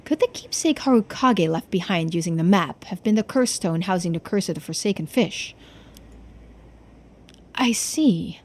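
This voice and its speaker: Woman acting composed investigator, formal, precise, measured, mystery game dialogue. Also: lowish voice, expressive, voice pushed down. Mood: pondering.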